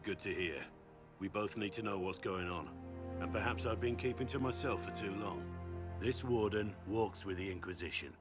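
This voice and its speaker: An actor playing a gruff, earnest middle-aged warden in a video game, speaking in a measured, plain-spoken way. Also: male voice, sits low, natural voice. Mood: resolute. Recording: game music behind the voice.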